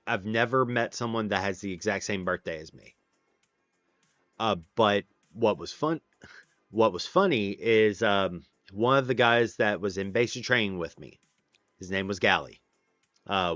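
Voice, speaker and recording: A man in his late thirties talks in a slow, unhurried drawl, a touch low, circling back and restarting his sentences. The mic is close and dry, the sound slightly dull, with faint music under him. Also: fairly bright tone, no echo. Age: 30s. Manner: rambling, with many fillers and restarts. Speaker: livestream host. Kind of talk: casual chat with viewers.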